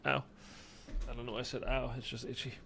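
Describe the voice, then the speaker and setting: Stuffy-voiced male gamer, gravelly tone. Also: medium pitch, level tone, fast, fairly bright tone. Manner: rambling, chatty, many fillers. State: stuffy, congested. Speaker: gaming streamer. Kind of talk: casual gameplay commentary.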